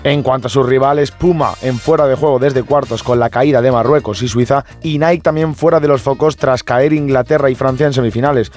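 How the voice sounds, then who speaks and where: Quick male business reporter. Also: medium pitch, fairly even intonation, darkish tone, soft background music. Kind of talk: scripted business news report.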